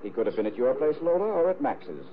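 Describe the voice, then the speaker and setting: A pompous gentleman crook, acted by a man in a vintage radio mystery, on a narrow recording with faint noise. His ornate, fussy speech swings dramatically up and down as he imperiously orders his associates about. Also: mid-range voice, very animated, fast talker, natural voice. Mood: fussy, calculating. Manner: pompous, ornate, hesitating with ums. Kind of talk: 1950s radio mystery acting.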